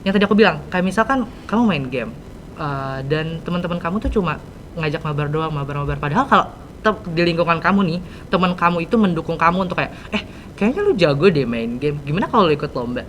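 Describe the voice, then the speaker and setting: A woman's voice: lively intonation, fast. A teenage young woman with a Jakarta Indonesian accent, hosting a casual podcast chat in informal youth slang. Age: teens. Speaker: podcast host. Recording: rumbly background noise.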